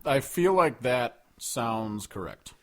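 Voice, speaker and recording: A man's voice: mid-range voice, lively intonation, takes it slowly. A man co-hosting a podcast, chatting casually with a wisecracking, sweary manner. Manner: wisecracking, profane. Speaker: podcast co-host. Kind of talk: casual chat.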